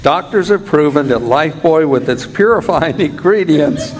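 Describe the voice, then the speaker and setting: A man's voice: a little high, expressive, normal speed. A man announcing like an old-time radio host, delivering sponsor copy in an emphatic, salesman-like manner. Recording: hall reverb, live stage show.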